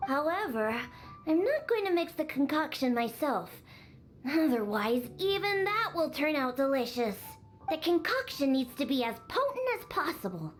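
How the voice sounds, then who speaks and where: A woman's voice: sits high, big pitch swings, normal speed. A woman voicing a bossy, exasperated young cat-girl daughter in a video game, scolding and matter-of-fact. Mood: bossy, instructing. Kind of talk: video game character acting. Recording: faint game music behind the voice. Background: game music.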